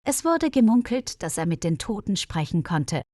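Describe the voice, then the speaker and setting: A woman reading a scripted mystery story for language learners in a measured, careful storyteller's delivery. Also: mid-range voice, big pitch swings, mellow, dry recording, studio quality.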